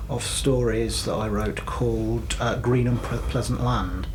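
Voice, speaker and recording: A man from the UK co-hosting a radio show, talking casually and haltingly, often repeating words and restarting. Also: mid-range voice, steady tone, noisy background. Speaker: radio co-host; cryptozoologist and horror writer. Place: radio studio.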